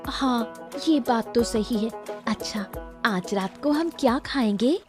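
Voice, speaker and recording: A woman's voice: on the high side, slow pace, music in the background. A woman voicing a happy, romantic young royal in a cartoon story, affectionate and eager. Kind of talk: animated moral story dramatization.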